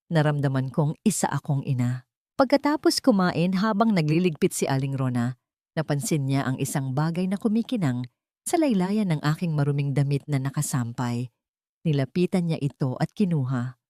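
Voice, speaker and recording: A woman's voice: low voice, warm tone, dry recording. A woman storyteller narrating a dramatic first-person audio story in an earnest, emotional way, on a close studio mic.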